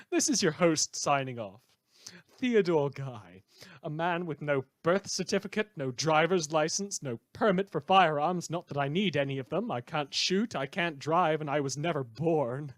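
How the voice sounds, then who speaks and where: A man performs as a bitter renegade radio host with a fairly high voice. He rambles through a sarcastic, unhinged monologue, stammering and halting as he goes, on a fairly dry recording. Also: a bit bright, dry recording. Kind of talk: rambling radio monologue, fan audio drama.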